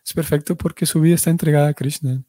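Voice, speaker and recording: A man teaching a devotional class, his voice pitched a little high but warm and mellow. He explains at length, backing up and correcting himself mid-sentence. The sound is dry and close. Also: fairly even intonation, quick pace, dry recording. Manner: explanatory, restarts and self-corrections. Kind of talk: religious class.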